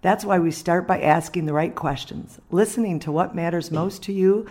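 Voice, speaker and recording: A woman's voice: lowish voice, normal speed, a little mellow. A woman delivering a scripted radio advertisement as a financial advisor.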